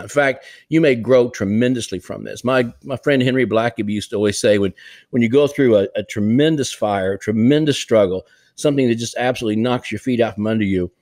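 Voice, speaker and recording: An older man talks into a headset from a room at home, his voice dry and close with little room sound. He speaks earnestly and emphatically, pressing his point of encouragement home in a relaxed conversational podcast. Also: medium pitch, no room sound. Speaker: church revitalization leader, co-host. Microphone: headset on a video call.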